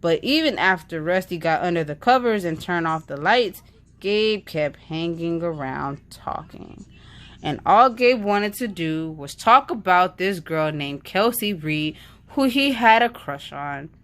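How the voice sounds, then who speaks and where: Slow, low-voiced woman reading a story. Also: lively intonation, fairly bright tone. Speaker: reader of a children's book. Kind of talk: read-aloud storytelling of a children's book.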